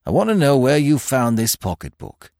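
A man voicing an impatient, commanding, quick-tempered police detective in an audiobook, with stern, urgent delivery. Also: mid-range voice, sing-song, darkish tone, no echo, clean studio sound, pitched above the performer's own voice. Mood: brisk, firm. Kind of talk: audiobook character voice.

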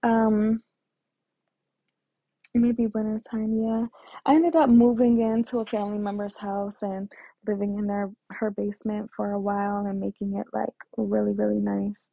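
A woman's voice: mid-range voice, level tone, moderate pace, vocal fry, no echo. A woman telling her own life story in a hesitant way, with many fillers and restarts. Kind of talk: personal storytelling. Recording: tinny phone-like dry sound.

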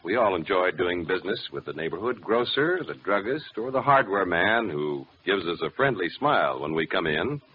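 A male announcer in classic 1940s radio style. His lowish voice moves in lively swells, folksy yet polished, on a dull recording with faint noise. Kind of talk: 1940s radio narration and folksy sponsor pitch. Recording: muffled, noisy old radio recording.

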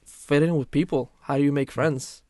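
A man's voice: fairly high pitch, fairly even intonation, moderate pace, crowd noise. A young man telling his story in a casual podcast chat, earnest and full of restarts. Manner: earnest, many restarts and "literally". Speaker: guest.

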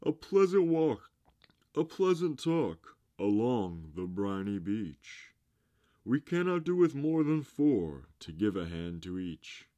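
A man voicing a pompous, coaxing walrus from a storybook, with a grand, drawn-out, theatrical delivery. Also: fairly low pitch, very animated, mellow, pitched above the performer's own voice. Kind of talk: storybook character reading.

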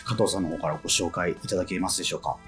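A man's voice: low-pitched, rapid-fire, fairly bright tone, faint music behind. A man hosting a casual radio interview, asking questions and reacting expressively with frequent interjections. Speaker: radio show host, interviewer.

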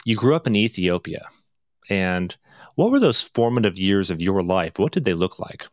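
A man's voice: low-pitched, big pitch swings, moderate pace, no echo, clean studio sound. A man hosting a podcast, introducing his guest and asking questions in an earnest, upbeat way, often saying "you know" and "um". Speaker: podcast host.

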